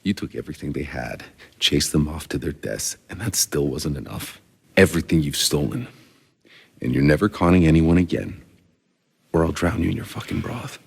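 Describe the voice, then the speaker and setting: Gruff sarcastic game merc, gravelly and husky, tired, terse, kinda hard-bitten. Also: male voice, animated, normal speed, full character voice. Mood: cold anger. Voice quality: deep, gravelly. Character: gruff, weary, sarcastic male Outrider soldier on a hostile planet. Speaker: video game protagonist. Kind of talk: dramatic video game voice acting.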